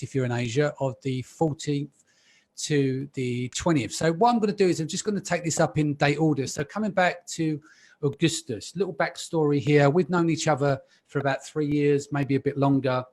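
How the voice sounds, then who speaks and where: A man hosting a live podcast panel, chatty and relaxed with frequent ums. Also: a little high, normal speed. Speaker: podcast host.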